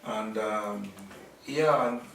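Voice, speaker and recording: A middle-aged man from Nigeria talks slowly in a lowish voice, stopping and restarting often as he answers an interviewer. He sounds set back from the mic in an echoey room. A faint hum of ambience runs behind him. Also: vocal fry, fairly bright tone, recorded from a distance. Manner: halting, many fillers and restarts. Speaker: interviewee, businessman.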